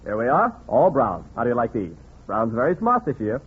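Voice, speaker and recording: An actor playing an unhurried, obliging tailor and clothing salesman in a 1940s radio comedy. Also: mid-range voice, very animated, breathy edge. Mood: polite, salesmanlike. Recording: noisy, phone-like old 1940s radio recording.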